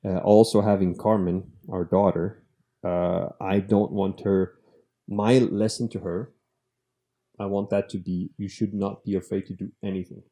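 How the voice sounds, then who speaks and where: Man, host, low voice, mellow tone, earnest, casual interview. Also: little pitch movement, unhurried. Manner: earnest, thinking aloud. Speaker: podcast host.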